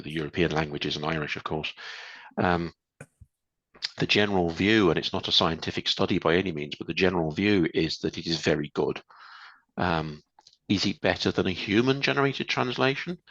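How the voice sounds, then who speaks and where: A man presenting in a webinar, answering questions in a measured, explanatory way with frequent restarts over a video call. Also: low-pitched, a bit bright, dry recording. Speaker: guest presenter. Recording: dull lo-fi video call audio.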